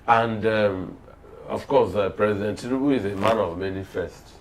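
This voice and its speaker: A man's voice: fairly low pitch, normal speed, slight background noise, low-quality recording. A man with a Nigerian accent speaking as a panel guest on politics, sardonic and full of pointed questions. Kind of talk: political discussion.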